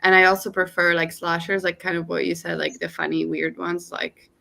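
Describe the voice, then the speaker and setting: A woman's voice: fairly low pitch, level tone, brisk, vocal fry, bright, forceful tone, slight background noise. A woman co-hosting a livestream in casual chat, speaking informally with lots of "like". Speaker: co-host of reading livestream.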